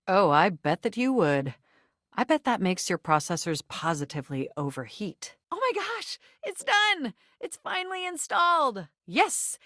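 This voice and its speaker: Perky, vain female computer character for a dating game; gushing, flirtatious, highly melodic, recorded dry. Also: no room sound. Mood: flirty, put out, then excited, proud. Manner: chirpy, gushing, theatrical, self-satisfied. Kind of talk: video game character voice acting.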